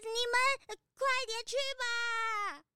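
A woman voicing a small, childlike character who speaks haltingly with groans in a children's audio drama. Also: extremely high pitch, flat delivery. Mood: pained.

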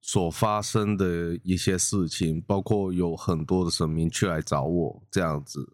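A man's voice: deep voice, fairly even intonation, measured pace, darkish tone, dry recording. A man, recorded dry and close. He chats as a podcast co-host in an earnest, slightly hesitant way, often repeating himself. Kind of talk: casual chat.

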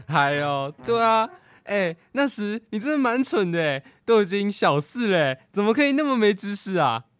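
A man's voice: sits high, big pitch swings, normal speed, a bit bright, clean tone, soft background music. A teenage boy playing a teasing grown brother in a children's radio drama. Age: teen.

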